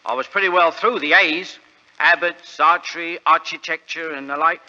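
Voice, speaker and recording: An actor playing an earnest, thrifty English shopkeeper who talks haltingly and deferentially, in 1940s radio drama style. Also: male voice, fairly high pitch, bright, forceful tone. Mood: puzzled, aggrieved. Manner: halting, deferential, wordy storytelling. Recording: dull, reverberant vintage radio recording.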